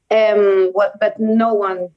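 A woman's voice: medium pitch, dull audio. Young venezuelan woman guest, spanish native, casual chat in english, laughs a lot. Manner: laughs often, restarts sentences in careful non-native English. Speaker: guest, rock climber.

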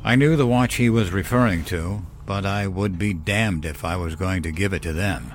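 A man giving measured, suspenseful first-person narration of a horror story. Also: deep voice, slight background noise. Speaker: narrator of horror fiction podcast. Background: background music.

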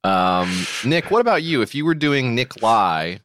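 A man's voice: mid-range voice, sing-song, a little slow, fairly bright tone, smooth, no echo, studio quality. A man hosting a casual podcast chat, teasing guests with joking asides and stumbling restarts. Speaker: podcast host.

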